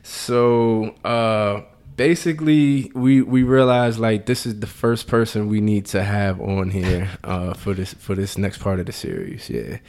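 A man's voice: fairly low pitch, fairly even intonation, measured pace, darkish tone. Casual male podcast co-host. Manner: colloquial, informal.

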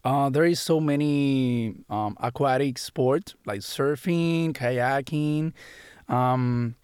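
A male outdoor guide with a Puerto Rican Spanish accent answers questions in uneven English, friendly and quick to laugh. His voice is smooth and resonant. The studio sound is dry and close. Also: mid-range voice, darkish tone, no room sound. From Puerto Rico. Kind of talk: interview answers. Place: radio studio.

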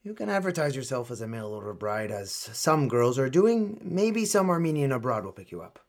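A man acting in a radio play as a stern but protective older colonel and uncle figure, grave and deliberate. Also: medium pitch, sing-song. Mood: grave, warning.

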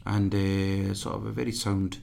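A man in his fifties from Pakistan, reminiscing in an interview in a halting way full of fillers. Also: sits low, little pitch movement, creaky, warm tone. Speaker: guest.

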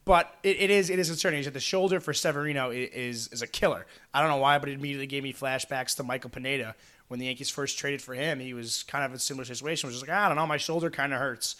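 A man co-hosting a sports podcast with a slightly raspy, hoarse voice, talking quickly and casually with frequent restarts. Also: mid-range voice, steady tone, bright, forceful tone. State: hoarse after a busy weekend. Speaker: podcast co-host.

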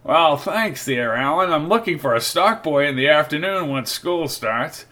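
A man's voice: a little high, expressive, normal speed, bright, forceful tone. A man reading the part of an easygoing, gray-haired drugstore owner in a small town, talking in a folksy way.

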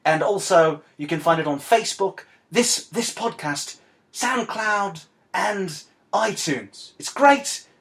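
Man playing a scornful, world-weary dead woman writer, high-pitched. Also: exaggerated voice. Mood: dry, muddled. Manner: deadpan, exasperated, halting with repeated phrases. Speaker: podcast guest host. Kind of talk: comic in-character monologue and dramatic reading.

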